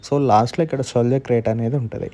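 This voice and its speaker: A man's voice: medium pitch, steady tone, very fast, mellow. A man with a Telugu accent, narrating a gaming tutorial as the channel's host.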